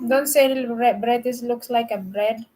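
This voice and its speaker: A woman with a high, light voice hosts a casual live stream. She talks in a chatty, easygoing way, greeting each viewer by name. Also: fairly even intonation, measured pace, a little mellow. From Philippines.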